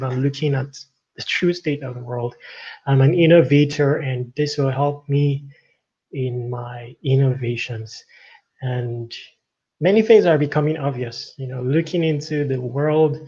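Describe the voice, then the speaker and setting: A middle-aged man giving casual tech news commentary as a livestream host, talking in a halting, rambling way with frequent verbal tags. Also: medium pitch, warm tone. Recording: dull, lo-fi microphone sound.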